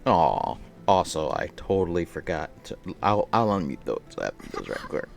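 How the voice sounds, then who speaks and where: Guy streamer w a low voice, goes up and down a lot, playful rambly chat and reading out loud, faint music. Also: very animated, normal speed, gravelly. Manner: rambling, playful, chatting with viewers, repeats phrases. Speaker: live streamer. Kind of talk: casual livestream chat and reading a visual novel aloud.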